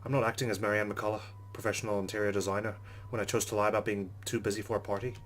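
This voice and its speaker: Male let's play host, low voice, rapid pace, reading story narration with commentary. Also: flat delivery, a bit bright, a little hiss or hum.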